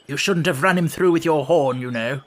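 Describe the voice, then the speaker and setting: A man's voice: on the high side, moderate pace, lightly acted. A man voicing a mild, fussy old storybook king, nervous and given to muttering and repeating himself.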